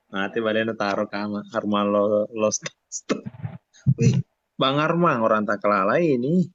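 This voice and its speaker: A man's voice: on the low side, normal speed, a bit bright, dry recording, dull audio. A man from chatting casually as a live streamer.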